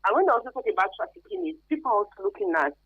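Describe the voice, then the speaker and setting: A woman's voice: high-pitched, sing-song, racing, slightly rough, no room sound. A woman with a Nigerian accent giving emphatic, conversational interview answers as a guest expert, over narrow phone-like radio sound. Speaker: guest expert, career advisor.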